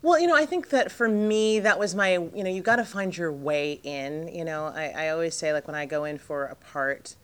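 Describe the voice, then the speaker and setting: Low-voiced jet-lagged woman rambling. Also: normal speed, few pauses, clear, resonant tone. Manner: rambling, lots of fillers and restarts, laughs often. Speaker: actress interviewed as guest. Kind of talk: casual interview chat.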